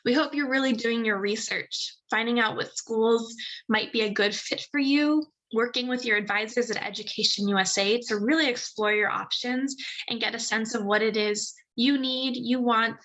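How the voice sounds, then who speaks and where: A woman's voice: on the high side, fairly bright tone, low-quality recording. A woman from a university admissions office giving a webinar talk over a video call, explaining things steadily with some "um" fillers. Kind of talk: webinar presentation.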